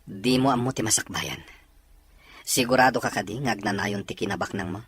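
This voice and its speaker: A man's voice: fairly low pitch, brisk, fairly bright tone, close to an everyday voice. A man acting a worried, reproachful husband in an Ilocano radio drama, scolding and exasperated.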